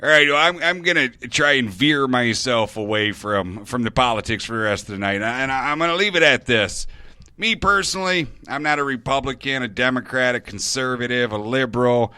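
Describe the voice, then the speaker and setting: A male radio host talks straight into a studio mic in a blunt opinion monologue. His voice is forceful and bright, smooth and clear, with a dry, close sound. He leans on repetition to hammer his points home. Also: mid-range voice, a little fast, dry recording, faint music behind. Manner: emphatic, repeats words for stress, blunt.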